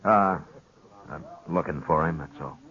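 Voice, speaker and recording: An actor playing a steady, weary, authoritative American frontier marshal in a 1950s radio western, measured and laconic. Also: male voice, extremely low pitch. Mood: casual. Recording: dull lo-fi old radio recording.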